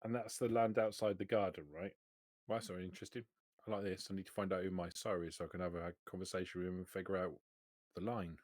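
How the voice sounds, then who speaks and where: A man's voice: low voice, flat delivery, quick pace, a little mellow, dry recording, studio quality. A man playing in a tabletop roleplaying game, chatting casually in character. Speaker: player.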